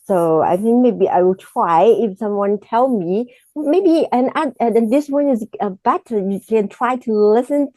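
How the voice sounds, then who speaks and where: Woman, Cantonese accent, native Cantonese speaker, hesitant broken English, mellow warm tone, dry close sound. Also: fairly high pitch, dry recording. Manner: halting, searching for words in simple English. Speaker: exam candidate. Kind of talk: mock speaking exam answers.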